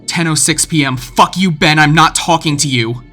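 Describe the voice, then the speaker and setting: A man's voice: fairly high pitch, moderate pace, sharp tone, soft background music. A man narrating a scripted video essay about an internet horror story. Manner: reads quoted messages with rising intensity.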